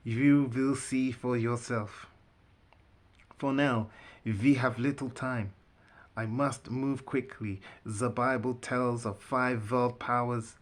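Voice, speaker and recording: Man putting on a stern, slow, clipped German man. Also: mid-range voice, steady tone, natural voice. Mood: urgent, stern. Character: urgent, commanding German man preaching prophecy.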